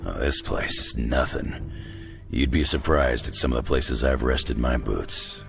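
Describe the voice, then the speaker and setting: A rugged middle-aged brawler in a game cutscene, speaking in an extremely low rumble full of gravel. He is gruff and sardonic, tossing off folksy, laconic remarks like a veteran of many fights. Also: measured pace, over music, exaggerated voice. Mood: relaxed, wry. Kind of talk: video game cutscene dialogue.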